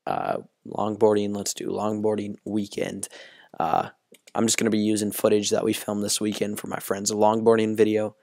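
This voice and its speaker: Young guy w/ a hoarse voice walking thru a tutorial, kinda monotone, lots of ums. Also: fairly low pitch, no room sound, studio quality. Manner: relaxed, full of ums and uhs. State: hoarse, partly lost voice. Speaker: YouTube tutorial host. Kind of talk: casual screen-recording tutorial.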